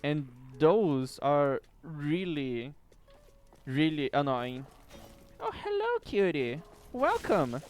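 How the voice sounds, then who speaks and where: A man's voice: a little high, very animated, very slow, a little mellow. A man streaming a game late at night, chatting casually with viewers, with distinctive rolled R's and casual swearing. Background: faint background music.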